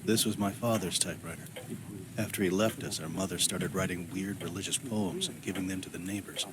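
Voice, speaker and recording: A man's voice: deep voice, steady tone, fairly quick, lightly acted. An actor playing a brooding, world-weary man who narrates his homecoming in a subdued, matter-of-fact way for a game. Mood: grim, reflective. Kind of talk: scripted game narration, inner monologue. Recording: clear background noise under the voice.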